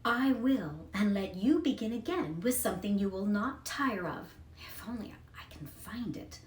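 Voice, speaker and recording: A woman's voice: mid-range voice, lively intonation, normal speed, lightly acted. Indulgent storybook mother, read by a woman, echoey room. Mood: resolved. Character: indulgent, thoughtful mother of a spoiled child. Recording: echoey room, distant mic, faint noise.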